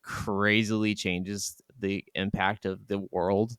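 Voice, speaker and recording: A man with a fairly low, clean voice speaks as a podcast co-host in casual gaming chat. He is emphatic and swears readily. The recording is dry, with little room sound. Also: flat delivery, slow pace, a bit bright, clean tone, no room sound. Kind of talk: casual chat about video games.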